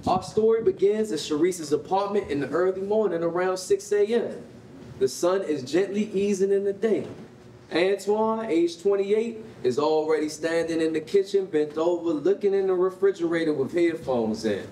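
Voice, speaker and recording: A man's voice: sits high, normal speed, mellow. A young man giving scripted storybook narration at a live staged reading. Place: indoor rehearsal hall, standing at music stand. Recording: echoey hall, distant mic, faint noise.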